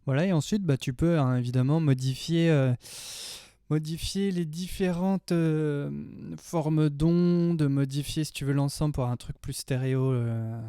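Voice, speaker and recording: Casual higher-pitched man in his thirties. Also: measured pace, mellow, smooth, dry recording, clean studio sound. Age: 30s. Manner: informal, slangy, hesitant. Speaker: music production tutorial host. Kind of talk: casual tutorial chat. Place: small home studio room, indoors. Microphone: close studio mic on boom arm.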